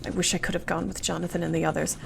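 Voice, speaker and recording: A woman's voice: lowish voice, level tone, rapid-fire, creaky, slight background noise. A woman acting an earnest, anxious young Victorian wife in a radio play, devoted and thoughtful, with formal, precise period phrasing. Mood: anxious, regretful.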